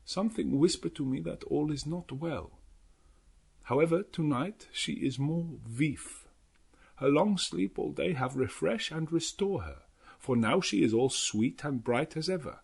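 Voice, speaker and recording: Earnest old professor, swooping intonation. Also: male voice, on the high side, lively intonation, gravelly, a little mellow, breathy edge, voice pushed up, full character voice. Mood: grave, concerned. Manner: halting, non-native English phrasing, deliberate and dramatic. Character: elderly foreign professor, earnest, grave, devout vampire hunter. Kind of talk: dramatic audiobook narration of a journal.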